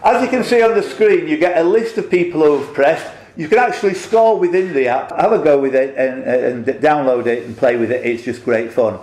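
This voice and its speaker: A man's voice: fairly high pitch, fairly quick, few pauses, a little mellow. Male quiz host, mature, off-the-cuff and jokey, rambling delivery, roomy small-venue sound. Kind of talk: casual unscripted introduction and player interviews. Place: quiet room in a pub, indoors. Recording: echoey small room.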